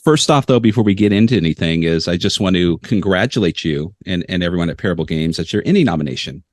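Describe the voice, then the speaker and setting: Low-voiced male co-host, rambling and joking, dry studio sound. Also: lively intonation, fast talker, dry recording. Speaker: podcast co-host. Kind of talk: casual podcast interview.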